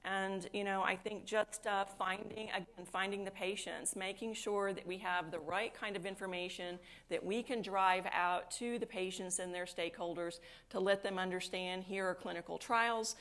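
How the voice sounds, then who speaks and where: A woman's voice: mid-range voice, steady tone, normal speed, a bit bright. A woman speaking as a chief operating officer in a conference panel discussion, thinking aloud with frequent restarts and "you know". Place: conference stage.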